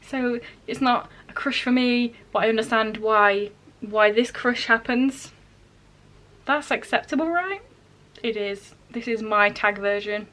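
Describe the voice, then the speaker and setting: A woman with a fairly high voice talks casually to the camera as a book vlogger. Her delivery is informal and self-deprecating, peppered with dry asides. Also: measured pace, a bit bright. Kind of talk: casual chatty vlog to camera.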